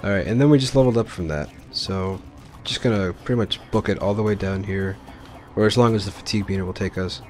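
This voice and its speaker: A man's voice: fairly low pitch, fast talker, a little mellow, faint music behind. A man giving casual, informal let's play commentary, explaining his choices as he plays. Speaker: gaming video host.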